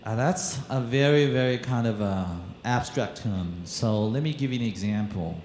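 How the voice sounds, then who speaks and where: A man's voice: medium pitch, darkish tone, slight background noise. Man speaking in a roomy conference hall. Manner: halting, many fillers and restarts. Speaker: panel moderator. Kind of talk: conference panel talk. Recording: echoey hall with faint ambience.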